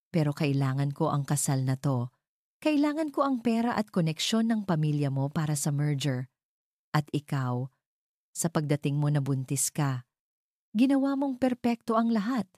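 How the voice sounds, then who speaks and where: A woman's voice: deep voice, mellow, no room sound, studio quality. A woman voicing a cold, cruel, scornful man, a scheming fiancé in an audio drama, with a mocking, menacing manner.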